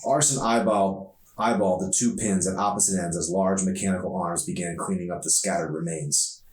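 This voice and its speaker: A man reading his own novel aloud as an author, in expressive audiobook narration. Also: low voice, a little fast. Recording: echoey room, distant mic.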